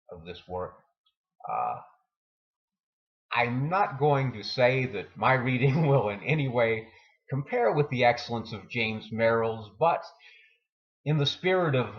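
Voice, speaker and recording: A man hosting a poetry reading, talking and reciting in a measured, deliberate manner. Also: mid-range voice, lo-fi sound. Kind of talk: informal introduction and poetry recitation.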